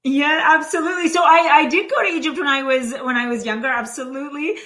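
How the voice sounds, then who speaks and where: Bright-voiced woman guest, laughing as she talks. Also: high voice, quick pace. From Canada. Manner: warm storyteller, laughs often, many fillers. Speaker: guest, financial planner and author. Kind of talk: podcast interview.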